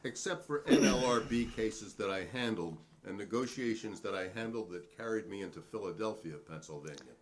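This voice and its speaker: A man's voice: on the low side, fairly quick, few pauses, a little hiss or hum, close to an everyday voice. A man playing a defiant, proudly principled hearing witness in a dramatic transcript reading, formal and pointed in manner. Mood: matter-of-fact, proud.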